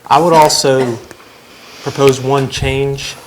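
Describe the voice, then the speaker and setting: A man calmly explaining a policy change at a school board meeting, with some hesitation. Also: mid-range voice, slow, a little hiss or hum, poor audio.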